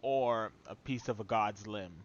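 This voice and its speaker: Slow-talking male game streamer, muffled and noisy. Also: lowish voice. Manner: sarcastic, teasing asides. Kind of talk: casual live commentary over gameplay.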